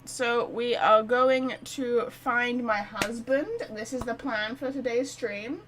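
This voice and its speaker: A woman chatting casually and excitably as she livestreams a game, rambling to her viewers. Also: a little high, slow pace, poor audio. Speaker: live streamer. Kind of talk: casual game stream chat.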